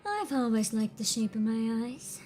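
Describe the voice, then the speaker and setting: A woman voicing a vain, self-assured young woman in a low, soft, measured voice, her throat congested from screaming. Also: animated, slight background noise, close to an everyday voice. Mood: musing.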